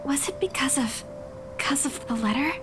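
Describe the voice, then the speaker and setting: A woman's voice: sits high, lively intonation, normal speed, noisy background. A woman voicing an anxious, concerned young woman in a visual novel, hesitant and apologetic.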